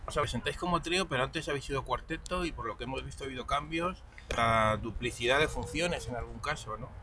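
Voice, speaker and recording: Spanish male interviewer, measured questions, mid-pitched, heard from a distance over background noise. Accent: Spanish from Spain. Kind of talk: radio-style music interview.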